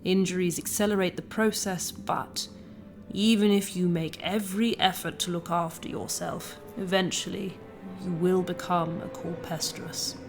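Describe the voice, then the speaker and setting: A woman playing a grave, blunt alien healer in a science fiction audio drama, speaking in a solemn, deliberate manner. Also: fairly low pitch, steady tone, over music, natural voice.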